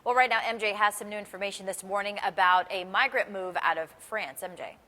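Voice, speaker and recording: A woman's voice: medium pitch, a little fast, pushed and bright, big-room sound. An American woman working as a TV morning news anchor, reading scripted stories in a broadcast delivery.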